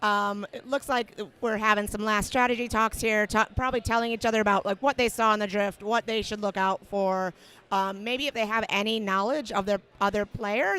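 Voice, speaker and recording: A woman with a slightly hoarse voice calls a match for a livestream. She explains strategy in a relaxed, easygoing way, pausing on fillers as she goes. Her voice sits at an ordinary middle pitch. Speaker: match commentator. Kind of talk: casual sports commentary.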